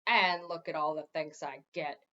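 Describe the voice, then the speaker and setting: A woman voicing a cranky, insulting wooden gargoyle who shouts and grouses indignantly in a storybook reading. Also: sits low, moderate pace, dull audio, voice pushed down. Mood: aggrieved complaining.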